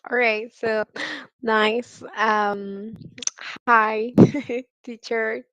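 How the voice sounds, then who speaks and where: A woman talks about her teaching over a video call. Her voice is smooth and close, with little rise and fall. She speaks slowly and hesitantly, filling the gaps with fillers. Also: on the high side, level tone, a bit bright, no room sound, poor audio. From Guatemala. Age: 20s. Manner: hesitant, many fillers, light laughs. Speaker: guest English teacher. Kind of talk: casual interview answers.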